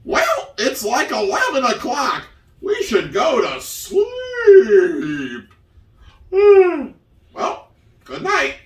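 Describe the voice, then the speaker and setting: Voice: high-pitched, huge pitch swings, drawn-out words, exaggerated voice. A performer voicing a cheerful, bossy puppet housemate in an amateur puppet show. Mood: easygoing. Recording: roomy, distant microphone.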